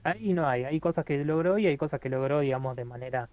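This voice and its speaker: A man, co-hosting a casual album review, talking haltingly with many fillers and restarts. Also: on the high side, lo-fi sound. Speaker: podcast co-host reviewing an album.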